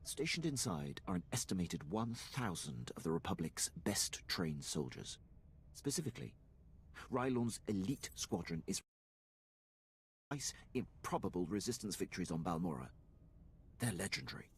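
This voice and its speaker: A man's voice: sits low, big pitch swings, normal speed, breathy edge, full character voice. A man playing an eager, deferential Imperial military officer in a video game, speaking formally and precisely. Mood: briefing, zealous.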